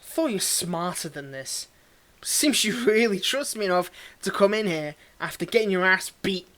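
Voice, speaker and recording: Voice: mid-range voice, lively intonation, fairly bright tone, natural voice. Cold, mocking tsundere villain played by an actor. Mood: taunting, haughty. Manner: condescending, taunting, theatrical, with drawn-out sneers. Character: cold, mocking tsundere villain, grudgingly tending a wounded hero. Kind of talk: dramatic roleplay monologue spoken to the listener.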